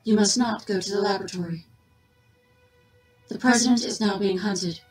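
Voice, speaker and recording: A woman voicing a polite but unyielding robot assistant in an audio drama, formal, measured and repetitive. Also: medium pitch, soft background music, lightly acted, low-quality recording. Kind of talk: full-cast audio drama.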